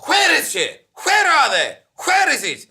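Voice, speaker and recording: Shrill, pompous, demanding adventurer. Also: female voice, wildly swooping pitch, a little slow, background noise, full character voice. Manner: declamatory, shouting, imperious. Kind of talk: comic audio drama acting.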